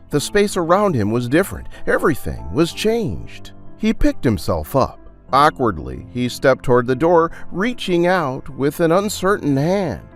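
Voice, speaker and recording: Animated male narrator of an audio drama. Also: on the high side.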